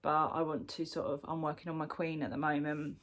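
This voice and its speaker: A woman's voice: low-pitched, flat delivery, a little fast. A woman from the UK chatting casually through a crochet work-in-progress update, sounding bunged up from a cold, with lots of ums and restarts. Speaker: crochet vlogger. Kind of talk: casual show-and-tell chat.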